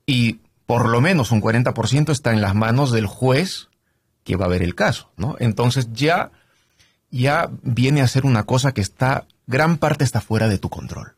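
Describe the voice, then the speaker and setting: A middle-aged man from Canada, a trial lawyer, explaining his work in a relaxed interview with many fillers and tag questions. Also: medium pitch, normal speed, husky, no echo. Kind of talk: conversational interview.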